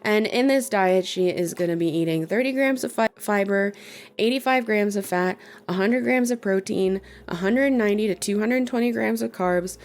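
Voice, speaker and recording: A young woman talking casually into the camera at her home desk setup. Her voice is a little phlegmy and worn from being sick, and she sits at a middle pitch for a woman. She chats loosely, with plenty of fillers and small laughs. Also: normal speed, darkish tone. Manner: chatty, filler-heavy, giggly. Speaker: YouTuber. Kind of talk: casual commentary vlog.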